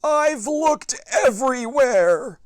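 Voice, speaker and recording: A wailing toothless old grandpa. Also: male voice, very high voice, expressive, dry recording, clean studio sound, voice pushed up, full character voice. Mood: distraught. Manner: loud, slow, wailing, mumbling as if toothless. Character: fussy old grandpa who lost his teeth. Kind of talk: children's storybook read-aloud.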